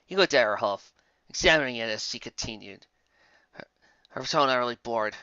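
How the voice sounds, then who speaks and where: Story narrator, expressive and dramatic, forceful tone, wide pitch movement, dry lo-fi close recording. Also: normal speed, no room sound. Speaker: fan fiction reader.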